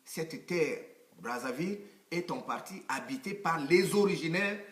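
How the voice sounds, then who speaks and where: A man's voice: a little high, expressive, unhurried, fairly bright tone. A man from the Pool region of Congo, arguing his point insistently as an interview guest. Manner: insistent, grows emphatic and laughing when challenged. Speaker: association member, guest. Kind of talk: debate-style interview. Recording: echoey room.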